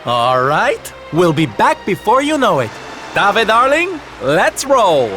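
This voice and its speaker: A man's voice: high voice, wildly swooping pitch, takes it slowly, exaggerated voice. A man voicing a flamboyant, theatrical male entertainer who calls people honey and darling, in a video game cutscene. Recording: game audio with loud music behind.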